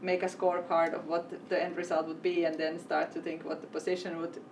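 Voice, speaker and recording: A woman's voice: on the low side, little pitch movement, a little fast, darkish tone, slight background noise. A woman hosting a live event panel, asking long, winding questions. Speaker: interviewer and event host. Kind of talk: panel interview. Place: event venue. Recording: distant mic with faint ambience.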